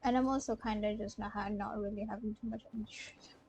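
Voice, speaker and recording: A woman's voice: mid-range voice, fairly even intonation, quick pace, breathy edge, poor audio. A young woman from Malaysia chatting casually as she streams a game. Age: young adult. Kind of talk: casual live-stream chat while playing. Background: soft game music.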